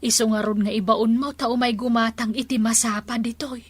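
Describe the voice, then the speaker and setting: A woman playing an animated, superstitious village shopkeeper in a radio comedy drama, exclamatory and chatty. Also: fairly high pitch, moderate pace, husky. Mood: scheming.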